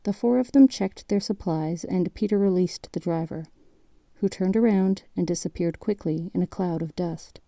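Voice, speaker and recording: A woman narrating an audio drama in a measured, literary storytelling manner. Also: fairly low pitch, creaky, dark tone, dry recording.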